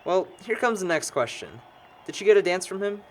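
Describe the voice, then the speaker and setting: A man's voice: high-pitched, lively intonation, a little fast. A man playing a sarcastic, needling young man among longtime friends in an amateur audio drama.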